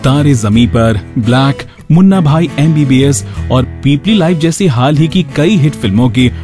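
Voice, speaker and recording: A man presents a radio programme in a medium-pitched voice. He reads scripted narration and announcements, with faint music behind him. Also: animated, moderate pace, mellow. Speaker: radio show host.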